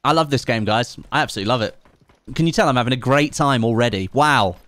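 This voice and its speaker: A man's voice: medium pitch, fast, fairly bright tone, no room sound. This is a man in his late twenties, streaming a game and chatting as he plays. His delivery is casual and rambling, and he breaks off to read out chat messages and make wry, sarcastic comments. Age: late 20s. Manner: chatty, reads chat aloud, sarcastic asides.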